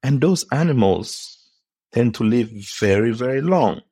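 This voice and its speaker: A man from Cameroon, a podcast guest telling his story in a reflective, unhurried way, often saying "you know". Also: medium pitch, expressive, mellow, breathy edge, no room sound, studio quality. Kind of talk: casual interview conversation.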